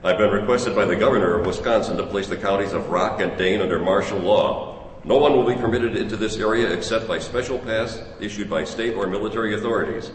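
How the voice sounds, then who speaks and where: An actor playing a stern army general in a radio play, formally announcing orders with authority. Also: male voice, on the low side, lightly acted. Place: theater stage, live radio play performance. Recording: echoey hall from far off, faint noise.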